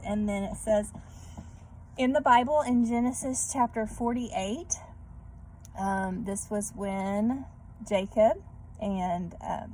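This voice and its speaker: A woman reading a storybook aloud to children in a gentle manner, pausing to put questions to her young listeners. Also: fairly high pitch, gravelly, poor audio. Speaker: read-aloud host for children. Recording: background noise.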